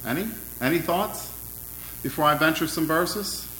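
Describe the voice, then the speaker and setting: A man preaching an interactive sermon, asking his congregation questions in a loud, friendly voice. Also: on the high side, unhurried, echoey room, slight background noise, rough recording. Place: church hall.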